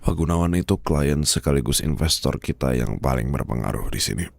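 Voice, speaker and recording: A man's voice: a bass voice, expressive, fairly quick, creaky, no room sound, clean studio sound, heavily acted. An Indonesian man acting a stern, proud office boss running a fever, in a conversational ASMR roleplay with hesitant muttering. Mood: weary, unwell.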